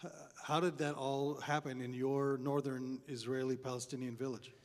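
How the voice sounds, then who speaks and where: A man hosting an informal on-stage interview, speaking in a somewhat halting way with repeated words. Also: mid-range voice, little pitch movement, darkish tone. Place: on stage in a concert hall. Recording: reverberant concert hall.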